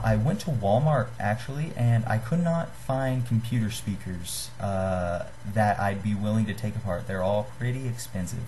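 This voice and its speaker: A young man giving a casual, unscripted tutorial to a webcam in a small room, in a hesitant, apologetic, self-deprecating manner. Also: a little mellow. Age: young adult. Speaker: DIY video maker giving a tutorial. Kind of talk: casual unscripted how-to talk. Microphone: built-in webcam at desk distance. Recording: noisy low-grade webcam microphone.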